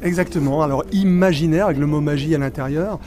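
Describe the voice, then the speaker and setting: Mellow-voiced middle-aged man, animated. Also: fairly high pitch, fast. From Spain, raised in Belgium. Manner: animated, many restarts and fillers. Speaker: magician guest. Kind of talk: casual interview talk.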